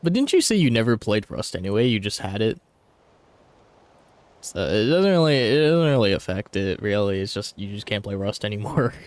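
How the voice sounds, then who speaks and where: A man's voice: on the low side, very animated, normal speed, no room sound. A man chatting casually and offhand in slangy speech while playing a game. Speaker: gaming streamer. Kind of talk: casual chat over gameplay.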